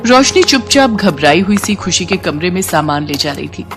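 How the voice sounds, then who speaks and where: A woman's voice: fairly low pitch, sing-song, moderate pace, music in the background. A woman storyteller reading scripted narration for a children's moral tale.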